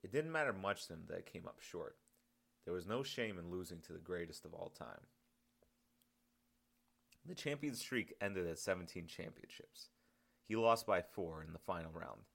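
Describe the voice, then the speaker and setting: A man's voice: deep voice, vocal fry, fairly bright tone. A man reading his own story as scripted audiobook narration.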